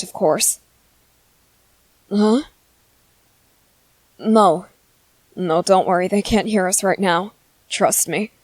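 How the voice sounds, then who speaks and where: A woman voicing a gentle, clever young male soldier in an ASMR roleplay, speaking softly and politely with hesitant ums. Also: medium pitch, dry recording, natural voice. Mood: calm, reassuring.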